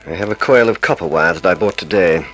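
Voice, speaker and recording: An actor playing a possessive, gloating criminal schemer with a menacing manner, in 1950s radio drama style. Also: male voice, low voice, quick pace, rough recording. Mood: coldly menacing. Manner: menacing, forceful. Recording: old radio drama recording with music.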